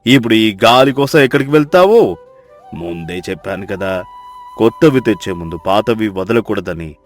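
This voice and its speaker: A man voicing village characters in a children's story, with a deep, slow, scolding manner. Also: expressive, soft background music. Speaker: narrator voicing male characters.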